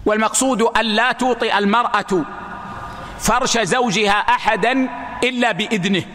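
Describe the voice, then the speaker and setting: High-voiced man teaching in an echoing hall. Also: sharp tone, a little hiss or hum. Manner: measured, explanatory, slows down to stress quoted texts. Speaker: religious teacher. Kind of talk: religious lesson. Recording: long hall reverb.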